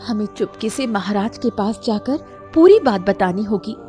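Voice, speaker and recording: A woman playing a serious, determined victim of a plot in a cartoon story, speaking in a measured way. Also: medium pitch, expressive, mellow, soft background music, lightly acted. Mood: grave, resolute.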